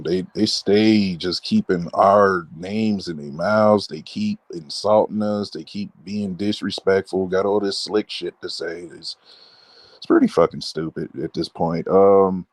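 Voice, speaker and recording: A man's voice: deep voice, dry recording. A man from Detroit chatting casually in a blunt, slangy way. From Detroit, United States. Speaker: panel caller. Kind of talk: casual chat.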